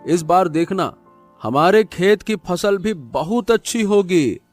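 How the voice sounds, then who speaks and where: High-pitched, animated village farmer played by a man. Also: slow, no room sound, soft background music. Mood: reassuring. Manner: animated, colloquial remonstrating. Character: trusting, stubborn poor village farmer, loyal to family.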